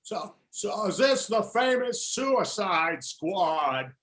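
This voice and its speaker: Man playing a high-voiced nervous convict. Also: big pitch swings, takes it slowly, a bit bright, exaggerated voice. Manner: hesitant, stammering. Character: nervous, eager male convict recruit. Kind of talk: amateur script table read.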